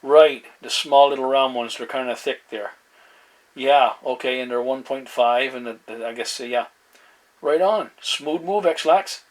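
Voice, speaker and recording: A man in casual two-way radio chat as a hobbyist, speaking in halting phrases with radio slang. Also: mid-range voice, poor audio.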